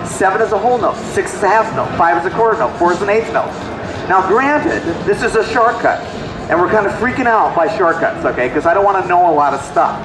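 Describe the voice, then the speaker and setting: Chatty high-voiced man demoing in a hall. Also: lively intonation, fairly quick, noisy background. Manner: chatty, self-deprecating, joking asides. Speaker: software product specialist. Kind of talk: live trade-show clinic demo. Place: large convention hall. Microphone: handheld mic. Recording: echoey hall with music behind.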